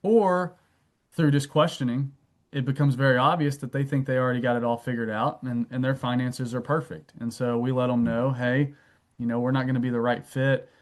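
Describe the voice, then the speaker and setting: A man guesting on a podcast talks at an ordinary mid pitch, measured and even. As a wealth advisor, he lays out his points through client stories. He fills his pauses with a soft "um". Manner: steady, anecdotal, filler "um". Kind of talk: explanatory interview answers.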